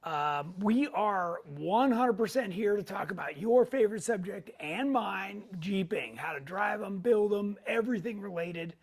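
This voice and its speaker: A middle-aged man hosting a weekly live tech show in a casual way, hoarse, clearing his throat often and pausing on fillers. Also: high-pitched, lively intonation.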